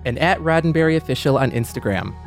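A man's voice: a little high, lively intonation, quick pace, soft background music. A man co-hosting a podcast in a casual, reflective conversational style. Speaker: podcast co-host.

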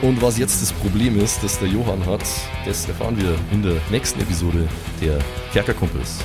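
A man's voice: lowish voice, brisk. A man narrating an improvised audio drama as game master. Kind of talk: live improvised storytelling. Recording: guitar music behind voice.

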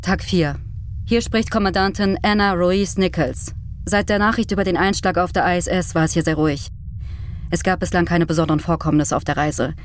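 An actress playing a brisk, duty-driven spaceship commander in a naturalistic audio drama, with a firm, matter-of-fact manner. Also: female voice, fairly low pitch, slight background noise, lightly acted. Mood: composed, businesslike.

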